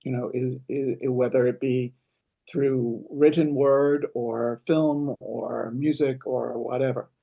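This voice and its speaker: Man interviewer with a mid-pitched voice. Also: steady tone, takes it slowly, gravelly, highs cut off. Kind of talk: casual conversational interview.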